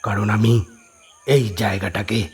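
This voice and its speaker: A man acts a solemn spiritual adept with occult powers in a horror audio play. The voice is very husky and breathy. The speech is grave and deliberate, broken by pauses. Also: fairly low pitch, fairly even intonation, heavily acted. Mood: grave, mysterious. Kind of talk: Bengali horror audio drama acting.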